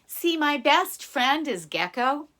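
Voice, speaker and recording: A woman's voice: high voice, very animated, slow, a bit bright, voice pushed up, full character voice. A woman voicing a kindly, considerate rain spirit in a folktale, explaining in a friendly, earnest way.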